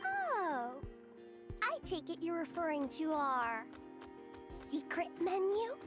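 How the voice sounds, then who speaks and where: A woman's voice: very high-pitched, huge pitch swings, slow pace, music in the background, full character voice. A woman voicing an eager, imaginative little girl waitress in a video game. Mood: playfully formal. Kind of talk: English video game voice acting.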